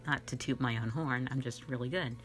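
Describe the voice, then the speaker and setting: Low-voiced, giggly young woman chatting casually. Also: brisk, a bit bright, faint music behind. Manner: giggly, rambling, playfully self-mocking. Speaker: online channel host and amateur voice actor. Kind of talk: casual question-and-answer chat to followers.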